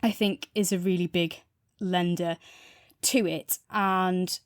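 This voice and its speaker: A woman's voice: fairly low pitch, steady tone, takes it slowly, no room sound, studio quality. A woman co-hosting a podcast in a casual review chat, enthusiastic and wandering freely between points. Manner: enthusiastic, discursive. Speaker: podcast co-host.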